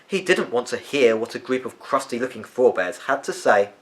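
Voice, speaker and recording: A man reading a children's story aloud as an expressive narrator. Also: mid-range voice, a little fast.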